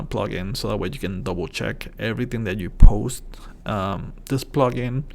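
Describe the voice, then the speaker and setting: A man's voice: medium pitch, creaky, a little mellow. A man from Puerto Rico with a Puerto Rican Spanish accent, a native Spanish speaker, hosting a casual tech tips vlog in English with many fillers and restarts. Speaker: channel host.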